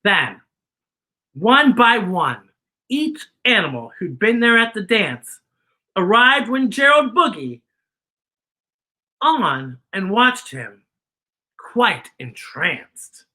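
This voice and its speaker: A woman hosting children's story time, reading a picture book expressively and deliberately explaining it to young listeners. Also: medium pitch, big pitch swings, bright, forceful tone, poor audio.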